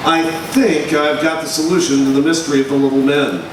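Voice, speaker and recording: Man playing high-voiced newspaper reporter, echoey hall. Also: little pitch movement, a little fast, natural voice. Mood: businesslike. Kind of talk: live recreation of an old-time radio serial. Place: large echoey room at a live event. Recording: very echoey hall, far microphone, loud noise.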